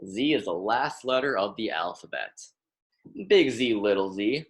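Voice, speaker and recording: Young American man reading aloud, slow and animated. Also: on the low side, poor audio. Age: young adult. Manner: instructive, repeats and emphasises words for young children. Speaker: graduate student reading aloud to children. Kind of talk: children's picture-book read-aloud lesson.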